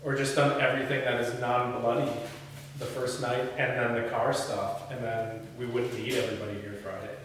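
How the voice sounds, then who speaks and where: A man's voice: mid-range voice, flat delivery, normal speed. A man reading stage directions and chatting casually at a script table read, recorded indoors from a distance. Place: indoor room, reverberant, mic at a distance. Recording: echoey room from far off, faint noise.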